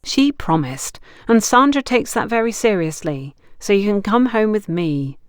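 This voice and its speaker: A woman narrator voices a composed, reassuring female character in an audiobook drama. She is earnest and firm, with measured phrasing and a widely moving pitch. The sound is dry and close. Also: expressive, clear, resonant tone, dry recording, close to an everyday voice.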